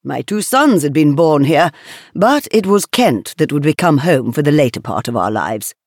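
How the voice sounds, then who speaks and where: An actress with an English accent playing a refined, aristocratic woman writer in a wistful, measured first-person audio monologue. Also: deep voice, expressive, darkish tone, dry recording, studio quality. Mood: fond, wistful. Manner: measured, literary, genteel delivery. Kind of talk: scripted first-person monologue, reflective storytelling.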